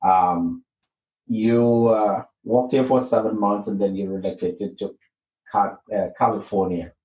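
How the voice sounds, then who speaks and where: A man's voice: fairly low pitch, steady tone, a little slow, dark tone. A man hosting a podcast, interviewing his guest in an encouraging way with plenty of fillers. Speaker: podcast host. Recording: echoey room, distant mic.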